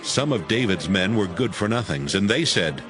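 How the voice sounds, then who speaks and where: Low-pitched, very expressive male scripture narrator. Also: moderate pace. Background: music.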